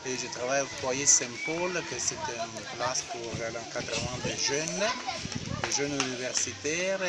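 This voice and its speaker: An Italian man, a priest, speaking French as a second language and pausing often to find his words. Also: medium pitch, heavy background noise. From Italy. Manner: halting, with frequent hesitations. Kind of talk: interview statement.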